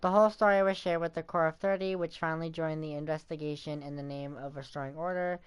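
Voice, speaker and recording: A woman's voice: deep voice, fairly even intonation, fast, a little hiss or hum. A woman streaming a game with casual, chatty commentary and playful asides. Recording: loud game music behind.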